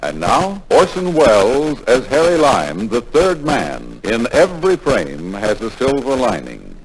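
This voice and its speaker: A man's voice: mid-range voice, huge pitch swings, a little slow, poor audio. A man announcing a mid-century radio show in a formal, dramatic style. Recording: vintage radio recording with music. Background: loud music.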